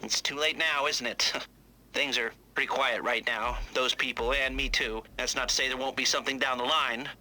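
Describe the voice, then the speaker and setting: An actor playing a dry, evasive criminal fixer in a video game, rambling in a roundabout way with a creaky, gravelly voice. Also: male voice, medium pitch, fairly even intonation, normal speed, noisy background, lightly acted. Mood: wry, evasive. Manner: dry, roundabout, hesitant humour. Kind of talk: video game phone-call dialogue, rambling. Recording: loud music behind.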